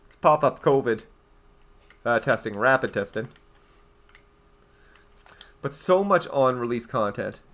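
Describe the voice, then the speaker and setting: A young Canadian man talks loosely through a game livestream, rambling from thought to thought and answering his viewers as he goes. His voice sits at a middling pitch, easygoing and conversational. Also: lively intonation, unhurried, pauses often, fairly bright tone. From eastern Canada. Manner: chatty, rambling, reads out and answers viewer chat. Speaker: game streamer. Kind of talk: casual livestream chat.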